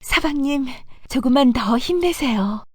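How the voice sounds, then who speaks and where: A woman playing a gentle, determined young princess in a children's folktale, with polite, formal speech. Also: high-pitched, lively intonation, unhurried, no room sound, clean studio sound. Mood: encouraging. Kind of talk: children's animated folktale acting.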